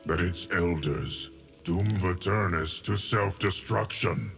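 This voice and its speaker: An actor voicing a solemn, stoic, ageless guardian of time in a video game, formal and measured with a gravelly voice. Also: male voice, sits low, fairly bright tone, faint music behind, heavily acted.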